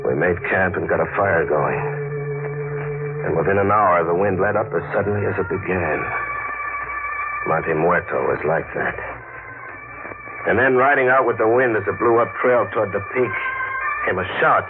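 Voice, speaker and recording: Low-voiced hardboiled radio killer. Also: male voice, moderate pace, long pauses. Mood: grim narration. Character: hardboiled, sardonic male killer narrating his treasure hunt. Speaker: lead actor and first-person narrator. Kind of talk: 1950s radio crime acting, hardboiled narration. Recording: muffled, narrow-band 1950 radio with music.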